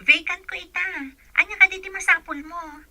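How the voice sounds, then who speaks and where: A woman's voice: extremely high pitch, fairly even intonation, brisk, noisy background. A woman playing a helpful, teasing young college student in an audio drama.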